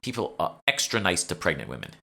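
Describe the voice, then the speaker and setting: A man's voice: low voice, wildly swooping pitch, fast, vocal fry, bright, forceful tone, heavily acted. A man voicing a haughty, condescending British woman who plays an obnoxious socialite in an audiobook. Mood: imperious, scornful. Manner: imperious, scornful.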